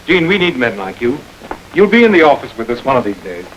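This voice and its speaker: An earnest, crusading district attorney. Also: male voice, medium pitch, big pitch swings, quick pace, fairly bright tone, a little hiss or hum, poor audio. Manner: earnest and persuasive, speechmaking. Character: idealistic new district attorney rallying men. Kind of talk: 1930s film crime drama.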